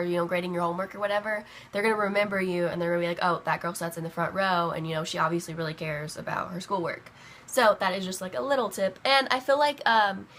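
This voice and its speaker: A young woman vlogger chatting casually to camera while sick with a bad cold, her voice rough, rambling with lots of fillers. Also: mid-range voice, fairly bright tone, slight background noise. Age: young adult.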